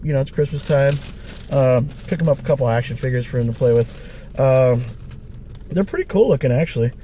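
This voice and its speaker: A middle-aged man, hoarse from a cold, chatting casually with a raspy voice in a wry, rambling way as he vlogs his thrift-store hunt. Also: mid-range voice, warm tone, a little hiss or hum. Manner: wry, rambling, full of fillers. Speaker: thrift-hunting vlogger. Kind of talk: casual vlog chat. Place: outdoors by stores, street traffic.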